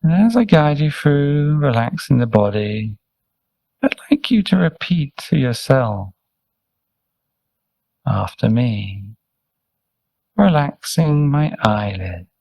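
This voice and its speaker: Slow, mellow man guiding meditation. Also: medium pitch, very animated, gravelly, no room sound. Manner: soft, gentle, unhurried, soothing phrasing with long pauses. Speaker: meditation teacher, Buddhist monk. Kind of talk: guided meditation, step-by-step instruction.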